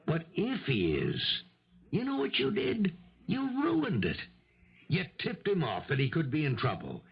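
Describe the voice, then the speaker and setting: A husky, world-weary police lieutenant from a 1970s radio mystery. He lectures with exasperation and wide swings in pitch. The recording is slightly dull and muffled. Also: wildly swooping pitch, measured pace, exaggerated voice. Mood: scolding. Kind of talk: radio mystery drama acting.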